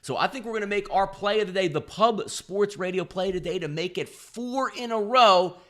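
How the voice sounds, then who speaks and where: A man's voice: high voice, moderate pace, fairly bright tone. Man hosting a sports betting podcast. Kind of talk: sports betting picks commentary, addressing listeners.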